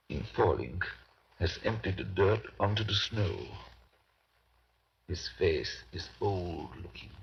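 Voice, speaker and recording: An actor playing a grave older professor and vampire hunter, narrating dramatically in 1930s radio style. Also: male voice, deep voice, gravelly, breathy edge, full character voice. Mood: hushed dread. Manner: dramatic, urgent, swinging from hushed to forceful. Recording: muffled, hissy 1930s radio recording.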